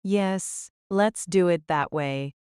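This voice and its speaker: A woman acts a brash warrior queen in an audio drama. The voice is smooth and clear, recorded dry and close, with a curt, commanding delivery that relishes battle. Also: on the low side, slow, a little mellow, no room sound. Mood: assenting. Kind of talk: fan audio drama acting.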